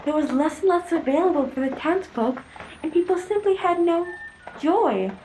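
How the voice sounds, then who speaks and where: A woman's voice: very high voice, lively intonation, normal speed, darkish tone, slight background noise, rough recording. A woman reading scripted narration for a radio play.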